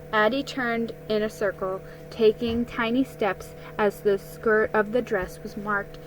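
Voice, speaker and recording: A woman reads a children's story aloud at a slow, careful pace, sometimes halting over the words. Her pitch sits in a middle range, with some faint noise behind her. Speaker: storybook reader. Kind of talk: dramatic reading of a children's story.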